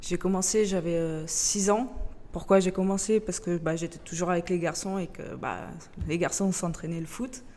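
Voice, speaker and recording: A woman's voice: lowish voice, steady tone, a little mellow. Woman with a Portuguese accent, echoey room. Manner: plain, halting, many fillers. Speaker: youth football coach. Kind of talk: casual interview answers. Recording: reverberant room, faint background noise.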